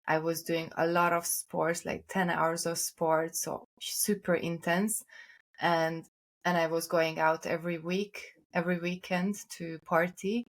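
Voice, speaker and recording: A woman with a slightly low voice talks casually as a podcast co-host. She is reflective and a little halting, reworking her phrases as she goes. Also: little pitch movement.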